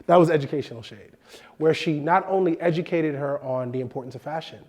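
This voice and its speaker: A man in his thirties hosting a film production lesson in a studio, animated and expressive, sounding a bit nasal from a cold. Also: a little high, fast. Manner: animated, expressive, gestures with hands. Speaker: host. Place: indoor studio set.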